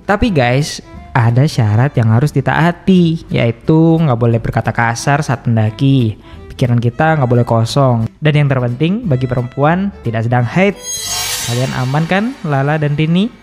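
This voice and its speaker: A man playing an earnest, polite college student who explains and smooths things over, in an animated story. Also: medium pitch, moderate pace, soft background music, lightly acted. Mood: earnest, cautious. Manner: conciliatory, explanatory. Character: earnest, polite male college student, group's careful planner. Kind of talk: animated horror story dialogue.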